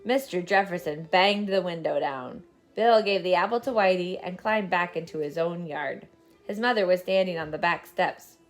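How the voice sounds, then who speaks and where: A woman reading a children's storybook aloud as scripted, expressive narration. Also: fairly low pitch, moderate pace, faint music behind. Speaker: storybook reader.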